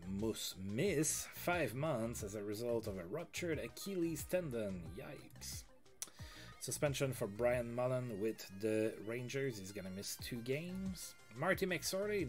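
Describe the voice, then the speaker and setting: A man streaming a sports management game, reading out game news with casual asides. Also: mid-range voice. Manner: chatty, reads game news aloud with asides. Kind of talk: casual let's-play commentary.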